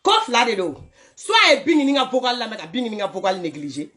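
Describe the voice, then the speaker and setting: Animated woman with a lively voice. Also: on the high side, quick pace. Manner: animated, laughing, scolding. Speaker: social media commentator. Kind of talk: casual commentary on voice notes.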